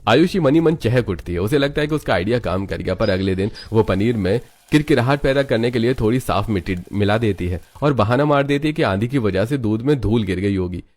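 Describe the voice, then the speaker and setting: A man narrating a cartoon story in a steady, scripted way. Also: on the low side, fairly quick, few pauses.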